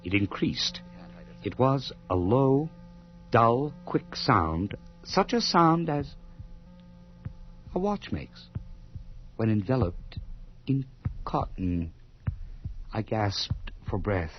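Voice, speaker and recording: A man's voice: medium pitch, very animated, extremely slow, pauses often. An actor in a gothic horror radio drama playing a nervous, guilt-ridden madman who stammers and repeats himself obsessively. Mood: tense, dread. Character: nervous, guilt-ridden madman narrating his own unravelling. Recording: muffled old radio drama, music underneath.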